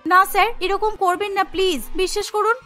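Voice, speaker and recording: A woman's voice: very high voice, fairly even intonation, fast talker, fairly bright tone, soft background music. A woman voicing a scornful young wife in a cartoon story, pleading and complaining with disdain.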